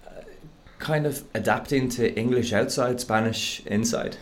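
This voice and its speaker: A man's voice: medium pitch, measured pace. A man hosting a casual podcast interview, asking curious, easygoing questions with many fillers. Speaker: podcast host.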